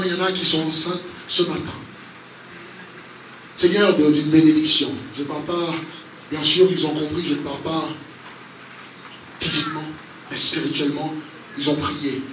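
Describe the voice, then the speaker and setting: A man preaching and praying as a pastor to a congregation, speaking spontaneously and emphatically with repeated exhorting phrases. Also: fairly high pitch. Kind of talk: spontaneous charismatic sermon with prayer. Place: large echoey room, church service. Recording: roomy, distant mic, noisy background.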